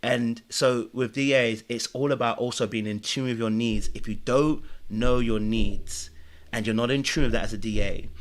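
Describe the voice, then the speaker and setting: A man's voice: mid-range voice, fairly even intonation, moderate pace. A man giving earnest, preachy relationship advice as a guest on a podcast chat. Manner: earnest, preachy, speaks in lessons.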